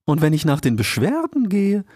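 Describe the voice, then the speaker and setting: A man voicing an old male turtle scholar who speaks very slowly and gravely. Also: on the high side, huge pitch swings, darkish tone, dry recording, studio quality, voice pushed up. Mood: solemn. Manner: very slow, measured, grave. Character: slow, solemn, aged male turtle scholar.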